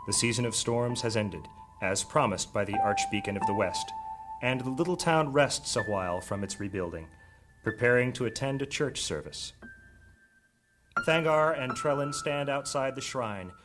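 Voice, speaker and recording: An actor playing a solemn storyteller, giving measured, scripted narration for a high fantasy audio drama. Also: male voice, natural voice.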